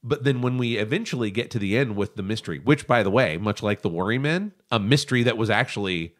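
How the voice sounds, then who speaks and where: A man with a fairly low voice that swings widely in pitch as he talks. He sounds like a podcast host deep in analysis, stating opinions with emphasis. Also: animated, fast talker. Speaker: podcast host discussing animation. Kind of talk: animated analytical discussion.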